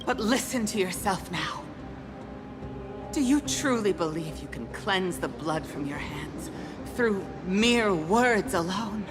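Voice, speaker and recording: Fierce, grieving warrior queen for a fantasy game: theatrical, commanding, deliberate pace, music underneath. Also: medium pitch, animated. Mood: bitter, challenging. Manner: theatrical, commanding, formal archaic speech. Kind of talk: dramatic fantasy game voice acting. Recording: long hall reverb, music underneath.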